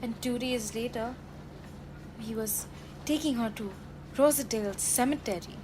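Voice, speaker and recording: A woman's voice: on the high side, normal speed, noisy background, close to an everyday voice. A teenage girl playing a sentimental, gossipy working-class factory girl in a radio drama, folksy and dramatic. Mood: hushed, earnest. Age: teenage high school student.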